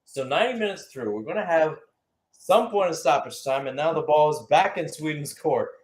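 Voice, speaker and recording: A man's voice: a little high, lively intonation, moderate pace. A man giving live radio-style play-by-play commentary on a football match, with exclamatory phrasing and rhetorical questions.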